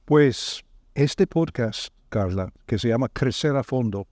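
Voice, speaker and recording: A man hosting a podcast, asking his guest questions and explaining the topic in a measured, friendly way. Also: mid-range voice, expressive, darkish tone, dry recording, studio quality. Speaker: podcast host.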